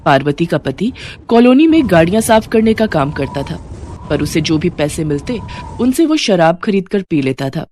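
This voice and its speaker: A woman's voice: on the low side, sing-song, moderate pace, a little mellow, slight background noise. A woman reading a scripted moral story as its narrator. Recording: music bed behind the voice.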